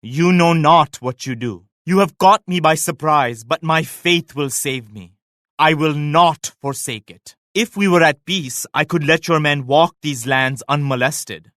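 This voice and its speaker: An actor playing a proud, pious emperor in a video game, speaking in a solemn, formal, measured way like an orator. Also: male voice, a little high, a bit bright, no room sound, studio quality. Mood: defiant, resolute, then stern, menacing. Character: proud, pious emperor, stately and morally stern. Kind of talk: scripted video game voice acting.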